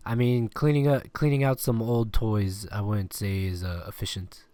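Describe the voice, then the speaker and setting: A man's voice: lowish voice, level tone, moderate pace, mellow, no echo. A man hosting a casual sports podcast, rambling and laughing often, with a stuffy nose and a lingering cough. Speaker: podcast host. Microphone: remote call recording.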